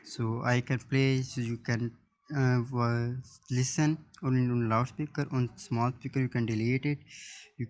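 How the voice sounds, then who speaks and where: A man presenting an unscripted phone app tutorial in halting, piecemeal phrases. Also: medium pitch, little pitch movement. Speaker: YouTube tech presenter.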